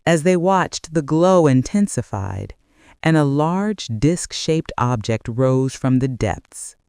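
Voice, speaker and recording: A man narrating a scripted bedtime mystery story in a measured, deliberate way with dramatic pauses. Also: on the high side, animated, a little mellow, clean tone, dry recording, clean studio sound.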